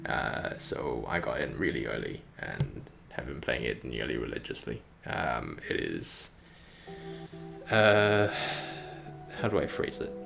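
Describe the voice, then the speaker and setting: A man's voice: extremely low pitch, very animated, slow pace, vocal fry. A man streaming in a casual, rambling way, thinking aloud with hesitant phrasing. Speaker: streamer, game developer. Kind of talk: casual livestream chat. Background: music.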